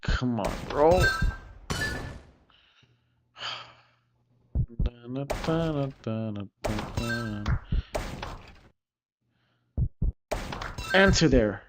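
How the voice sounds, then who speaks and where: A man's voice: mid-range voice, lively intonation, extremely slow, long pauses. A male streamer muttering and repeating phrases as he reacts to a game. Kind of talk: casual livestream gaming commentary. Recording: music playing loudly behind voice. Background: loud music.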